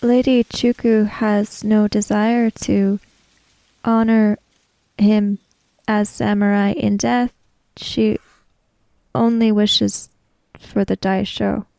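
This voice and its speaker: A woman acts out an earnest samurai-era woman for a tabletop roleplaying game. The voice is mellow and close, with a creaky edge, and each formal sentence is laid down slowly and carefully. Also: medium pitch, level tone, dry recording, poor audio. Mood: quietly challenging. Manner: measured, formal diction without contractions.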